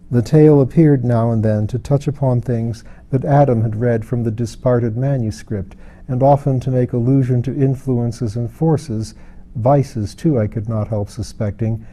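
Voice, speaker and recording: Very dark, mellow male audiobook narrator. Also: medium pitch. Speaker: audiobook reader. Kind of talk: literary scripted narration of a fantasy novel.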